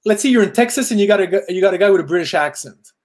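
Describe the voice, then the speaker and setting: High-voiced man with a Parisian French accent. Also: racing. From Quebec, Canada. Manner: candid, animated, gestures while talking. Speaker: film director. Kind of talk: casual interview talk. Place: dim room at home. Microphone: earbud headset on a video call.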